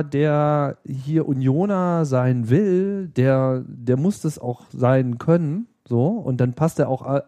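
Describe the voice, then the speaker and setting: Casting: middle-aged man, very mellow dark tone, casual podcast talk, searching and repetitive phrasing. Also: medium pitch. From Hanover, western Germany. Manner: hesitant, repeats words while forming thoughts.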